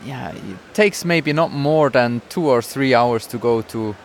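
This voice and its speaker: A man's voice: mid-range voice, unhurried, a little hiss or hum. A man from Switzerland with a Swiss accent, co-hosting a casual podcast and chatting while he sometimes searches for English words. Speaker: podcast co-host. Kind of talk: casual chat. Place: outdoors in a busy town square.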